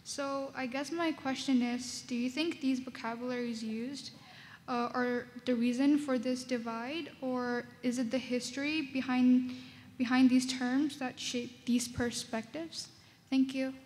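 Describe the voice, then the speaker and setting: A woman's voice: sits high, fairly even intonation, measured pace, a little mellow. A young woman, a student, asking a question from the floor at a conference. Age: teens to young adult. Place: large conference ballroom. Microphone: standing floor microphone. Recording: long hall reverb, some room noise.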